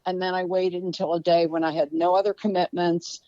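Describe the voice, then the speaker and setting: A woman's voice: fairly low pitch, level tone, a little fast, no echo, muffled recording. An older woman with a Baltimore accent, chatting as a guest author on a podcast. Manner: chatty, warm storyteller. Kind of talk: casual podcast conversation.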